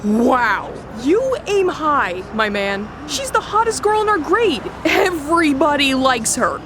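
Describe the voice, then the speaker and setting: A woman's voice: high-pitched, very animated, slow pace, noisy background, exaggerated voice. A woman playing a chunky, wisecracking middle school boy in a family audio drama, breezy and teasing.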